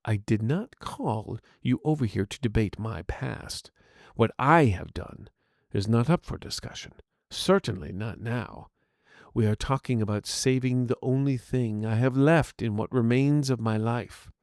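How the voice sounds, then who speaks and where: A man voicing an aging wizard villain in a fantasy story, speaking formally with proud, measured scorn. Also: mid-range voice, gravelly, darkish tone, no echo, clean studio sound. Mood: stern, proud.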